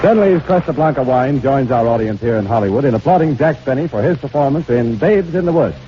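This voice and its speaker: A man's voice: medium pitch, lively intonation, brisk, noisy background. A man announcing and advertising on 1940s radio in a polished, emphatic, dramatic delivery. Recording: muffled old radio recording with music. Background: music.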